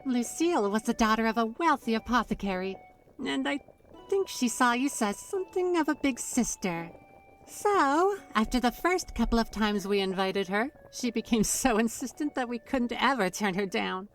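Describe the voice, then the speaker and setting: Woman acting a high-voiced, teasing young witch. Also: lively intonation, normal speed, distant mic, soft background music, rough recording. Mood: chatty, reminiscing. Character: young female witch, playful, teasing, proud best friend. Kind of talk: fantasy audio roleplay acting.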